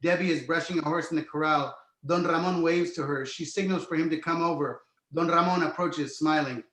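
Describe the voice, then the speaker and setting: A man's voice: on the high side, steady tone, fairly quick, a bit bright. A man narrating a screenplay, reading out scene headings and stage directions from the script. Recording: roomy, echoing sound.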